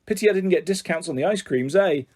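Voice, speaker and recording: A man's voice: high-pitched, fast, a little mellow. A man reading the part of a middle-aged, old-fashioned mother with an earnest, wavering way of talking. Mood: cheerful.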